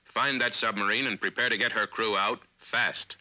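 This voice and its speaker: A man with an American accent narrates in the style of a 1950s training film. His lowish voice is very bright and forceful, with deliberate, authoritative phrasing. The sound is a dry period film soundtrack. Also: steady tone, no room sound, rough recording.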